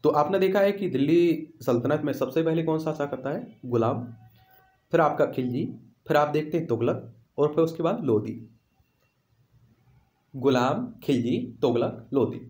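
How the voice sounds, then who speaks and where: Higher-voiced male quiz teacher in echoey room. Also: normal speed, long pauses, darkish tone. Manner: repeats questions and answers for emphasis. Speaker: teacher presenting exam quiz questions. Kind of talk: instructional quiz walkthrough.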